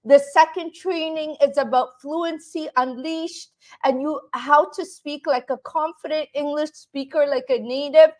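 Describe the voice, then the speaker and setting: Slow, high-voiced woman teaching a class. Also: fairly even intonation, darkish tone. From Canada. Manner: repeats "right?" to check in with viewers. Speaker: English teacher. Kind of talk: live online class.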